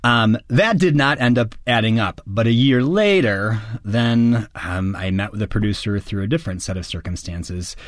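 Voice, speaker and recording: A man's voice: lowish voice, normal speed, few pauses, no echo, clean studio sound. A man, an actor from Wisconsin, answering interview questions with earnest enthusiasm. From Wisconsin, United States. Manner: earnest, enthusiastic storytelling with occasional laughs.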